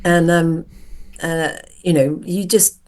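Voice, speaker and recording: A woman's voice: lowish voice, fairly even intonation, takes it slowly, a little mellow. A woman in her seventies, a podcast guest, telling stories from her childhood in a reflective, conversational way. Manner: reflective, storytelling. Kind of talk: casual conversational interview.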